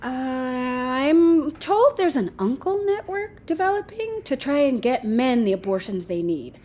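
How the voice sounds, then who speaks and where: A woman's voice: sits high, very animated, unhurried, warm tone, full character voice. A woman playing a flippant, unrepentant lover in an audio drama, with a breezy, casual, needling manner. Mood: defensive, earnest. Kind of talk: naturalistic audio drama acting.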